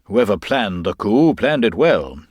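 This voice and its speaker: A man's voice: on the low side, big pitch swings, measured pace, darkish tone, husky, no echo, clean studio sound, heavily acted. Man playing an imperious, irritable lord. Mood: aggrieved, formal. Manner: clipped, commanding, formal. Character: irritable, imperious male lord, indignant and demanding. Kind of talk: dramatised audiobook reading.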